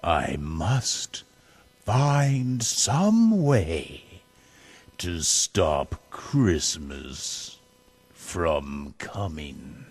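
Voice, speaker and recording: Man acting out a sour storybook creature, snarling, sneering, slow, widely swooping pitch. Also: very animated, breathy edge, soft background music, heavily acted. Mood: brooding. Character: sour, snarling, Christmas-hating male grouch creature. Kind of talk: dramatic storybook reading.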